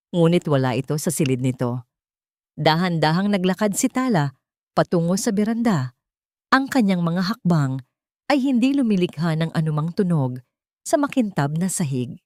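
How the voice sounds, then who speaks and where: A woman's voice: on the low side, very animated, moderate pace, a little mellow, no room sound, studio quality. A woman storyteller reading a scripted romantic drama in a solemn, emotive way.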